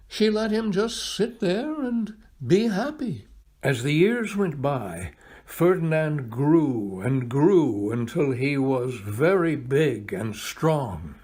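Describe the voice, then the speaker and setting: A man reads a picture book aloud for children in a fairly high voice with a slightly husky edge. His delivery is unhurried and very expressive, with dramatic pauses and plenty of melodic movement as he speaks to young listeners. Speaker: volunteer storybook reader.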